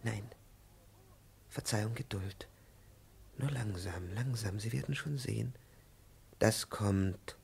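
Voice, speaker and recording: An actor playing a timid, over-polite elderly professor in a 1950s radio play, halting and profusely courteous. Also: male voice, fairly low pitch, gravelly, a little mellow, breathy edge, exaggerated voice. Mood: hesitant. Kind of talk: 1950s German-language radio play acting. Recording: 1950s radio drama recording.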